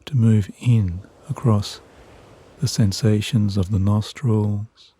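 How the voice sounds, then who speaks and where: A man narrating guided sleep hypnosis in a soothing, hushed, measured way with long pauses between phrases. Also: lowish voice, fairly even intonation, husky.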